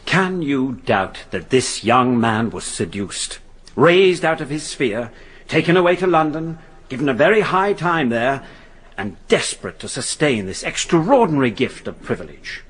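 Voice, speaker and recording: An actor playing a grave defence barrister addressing a jury in a radio drama, with solemn, emphatic rhetoric. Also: male voice, mid-range voice, a bit bright, husky. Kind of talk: courtroom oratory in radio drama.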